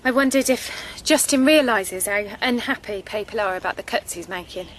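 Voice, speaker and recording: A woman's voice: medium pitch, normal speed, fairly bright tone, slight background noise, close to an everyday voice, poor audio. An actress playing an aggrieved working-class young mother in a radio drama, earnest and pressing. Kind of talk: naturalistic radio drama acting.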